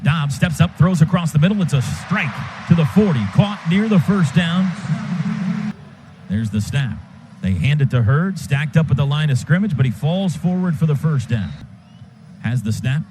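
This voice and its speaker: A man calling a football game play by play on the radio, in rapid, clipped bursts of action. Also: a little high, lively intonation, a little mellow. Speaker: play-by-play announcer. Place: stadium broadcast booth. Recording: noisy stadium radio broadcast.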